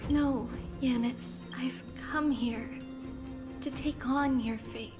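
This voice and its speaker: An actress playing a solemn, resolute young holy maiden in a video game, speaking formally and earnestly. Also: female voice, high-pitched, steady tone, slow pace. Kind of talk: scripted video game cutscene acting. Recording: music behind the voice.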